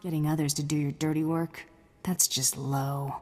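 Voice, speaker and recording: An actress playing a cool, wry bounty hunter in a video game, with a deadpan, sardonic delivery. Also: female voice, deep voice, normal speed, faint music behind.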